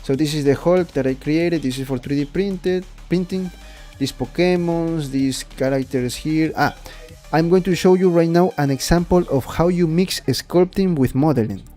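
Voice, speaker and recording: A man's voice: on the high side, moderate pace, mellow, faint music behind. A young man from Ecuador with a Spanish accent, rambling and repeating words as he explains his 3D art on a casual livestream. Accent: Spanish-accented English. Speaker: 3D artist streaming his work.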